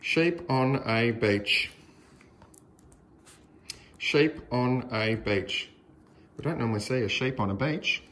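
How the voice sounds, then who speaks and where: An Australian man reading a picture book aloud to young children in a deliberate, sing-song way with exaggerated emphasis. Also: medium pitch, fairly bright tone.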